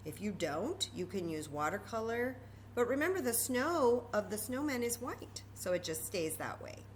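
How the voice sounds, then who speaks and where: A woman's voice: mid-range voice, big pitch swings, moderate pace, a little hiss or hum. A woman presenting a children's story time craft, explaining each step informally and patiently and repeating key words.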